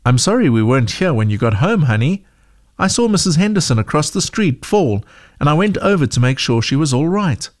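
A man voicing a gentle, caring mother in a children's story, speaking softly and tenderly. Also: a little high, big pitch swings, a little fast, voice pushed up. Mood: apologetic.

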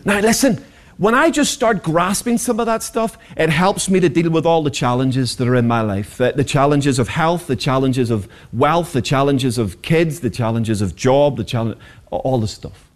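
A man's voice: medium pitch, moderate pace. A man, preaching a sermon as pastor, passionate and rhetorical with humorous asides. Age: 40s.

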